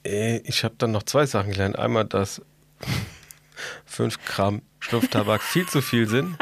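A man's voice: fairly low pitch, unhurried, creaky, a little hiss or hum. A man co-hosting a podcast in casual, jokey, easygoing chat. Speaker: podcast co-host.

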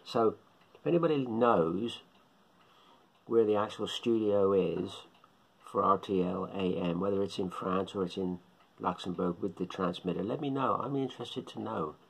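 A man's voice: low voice, normal speed, vocal fry, slightly rough, rough recording. A middle-aged man, talking casually to camera in a vlog, rambling and musing aloud and repeating himself. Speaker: shortwave radio hobbyist vlogger. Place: small indoor living room. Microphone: phone or webcam at close range.

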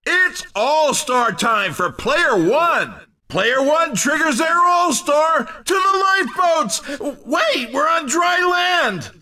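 An actor playing a playful, over-excited racing commentator in a video game, with a hammy, exclamatory showman delivery. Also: extremely high pitch, recorded from a distance, exaggerated voice. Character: over-excited male cartoon racing announcer, playful and punning.

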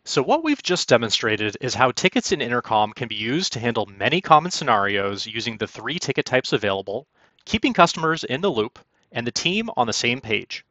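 A man presenting a software walkthrough as polished, instructional scripted narration. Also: medium pitch, no echo, lo-fi sound. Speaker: product demo presenter. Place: quiet, dry room, recorded as voice-over.

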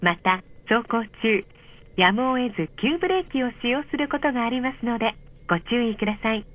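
A woman reading a polite, measured scripted announcement over a train's speakers, clearly enunciated. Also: fairly high pitch, expressive, slight background noise. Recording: dull, muffled train speaker recording.

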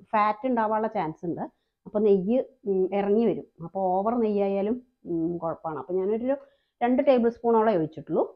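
A woman's voice: mid-range voice, phone-quality sound. A woman hosting a home cooking channel, talking through each step in a chatty, explaining way. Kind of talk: cooking demonstration voice-over.

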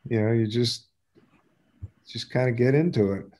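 Older american guy on a video call, kinda rambly and halting, modest about himself, normal pitch. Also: a little mellow. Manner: rambling, halting, self-deprecating. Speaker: community theater actor. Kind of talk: casual chat at an online talkback. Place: indoors at home on a video call. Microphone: video call microphone.

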